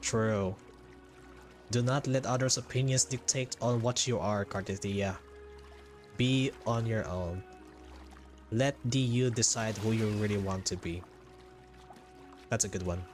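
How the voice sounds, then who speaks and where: A man giving casual, jokey game playthrough commentary as a streamer, with drawn-out reactions. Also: on the low side. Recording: game music behind the voice.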